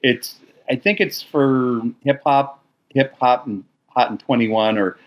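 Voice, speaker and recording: A man's voice: medium pitch, takes it slowly, dull audio. A man chatting as a guest film researcher, rambling with restarts and easy laughs. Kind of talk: casual podcast chat.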